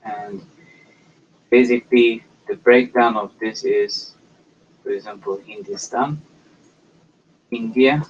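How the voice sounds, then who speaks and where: A man teaching a language lesson, explaining step by step in a hesitant, halting way with many pauses. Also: mid-range voice, fairly even intonation, husky. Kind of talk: slow explanatory lecture. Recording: dull lo-fi recording.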